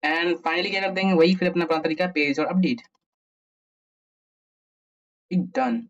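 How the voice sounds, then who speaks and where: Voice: mid-range voice, brisk. An Indian presenter giving an informal coding tutorial, thinking aloud and answering their own questions. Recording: muffled, distant microphone.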